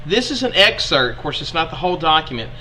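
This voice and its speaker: A man's voice: a little high, big pitch swings, fast, sharp tone, soft background music, rough recording. A young man with an Alabama accent teaching a history lesson in a chatty, folksy way to his students.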